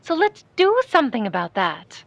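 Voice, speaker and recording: An actress playing an ambitious, sardonic aspiring film star in a 1940s-style noir audio drama, dry and theatrical. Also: female voice, on the high side, huge pitch swings, moderate pace, gravelly, no echo, dull audio, full character voice. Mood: determined.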